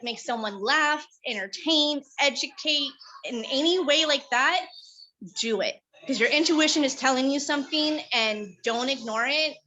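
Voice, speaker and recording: A woman sharing tips in a casual workshop. She tells her story in an animated way and acts out her own thoughts as quotes. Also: high-pitched, a little hiss or hum, low-quality recording.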